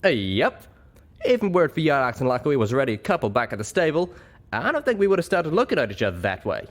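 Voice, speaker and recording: An easygoing pony cowboy from a storybook, as a man acts him out. He talks in a folksy, wry way, pitched up from a narrator's register, with lively swings. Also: fast talker.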